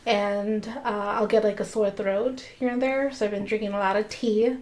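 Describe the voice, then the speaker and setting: A young woman co-hosting a laid-back podcast, her voice a bit hoarse from a lingering cold. She explains ideas in measured, even steps, at an ordinary pitch for a woman. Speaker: podcast co-host. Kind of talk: casual podcast chat.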